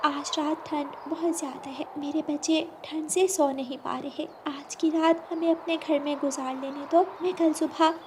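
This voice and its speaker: Woman doing a high-pitched, pleading little sparrow. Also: steady tone, moderate pace, hardly any pauses, noisy background, close to an everyday voice. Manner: polite, pleading. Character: gentle, pleading poor mother sparrow and her chick. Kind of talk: Hindi cartoon moral story voice acting.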